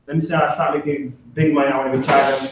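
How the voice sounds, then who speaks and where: Lively young man in a booming echoey hall. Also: racing, recorded from a distance, noisy background. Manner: animated, laughing, repeats "right?". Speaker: grad student and business member. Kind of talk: testimonial talk at a business meeting.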